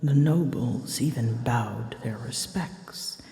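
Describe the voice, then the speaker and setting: A woman voicing a prickly, wounded female dragon in an intimate ASMR roleplay, speaking haltingly and slipping into whispers. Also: rumbling low, gravelly, mellow, heavily acted. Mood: haunted, confiding. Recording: roomy long reverb, faint ambient noise.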